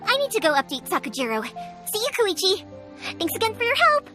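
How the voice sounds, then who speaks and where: A woman's voice: very high voice, big pitch swings, brisk, music in the background. A woman playing a cheerful, breezy young fireworks maker who talks casually and chattily in game dialogue. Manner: chatty, casual, playful storytelling.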